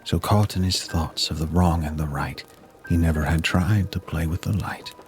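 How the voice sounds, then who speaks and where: A man narrating a rhymed Christmas story in verse with a measured, solemn delivery. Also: extremely low pitch. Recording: music bed under the voice. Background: music.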